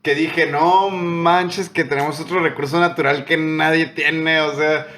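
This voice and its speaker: A man's voice: on the high side, little pitch movement, unhurried, sharp tone, echoey room. Slangy young northern Mexican man. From Tijuana, Mexico. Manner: slangy, explains at length, drops "güey" constantly. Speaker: podcast co-host. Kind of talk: casual chat.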